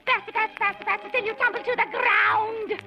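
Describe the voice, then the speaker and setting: An actress playing a wicked, gleeful fairy in a theatrical singsong chant on a children's record. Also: female voice, extremely high pitch, little pitch movement, fairly quick. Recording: old 78rpm record with orchestral music.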